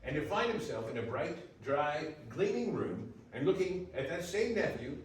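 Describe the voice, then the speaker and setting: A man's voice: a little high, big pitch swings, normal speed. A man narrating a classic story as scripted readers theater. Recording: very echoey, distant, faint noise.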